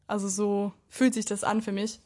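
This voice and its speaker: A woman's voice: mid-range voice, level tone, normal speed, a little mellow. A young woman chatting casually, thinking aloud with many fillers. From Austria, border region with Czechia and Germany. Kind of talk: casual chat.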